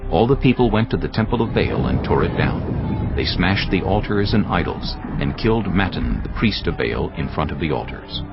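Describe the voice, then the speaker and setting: A man narrating scripture in a measured, solemn reading. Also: sits low, sing-song, highs cut off, over loud music.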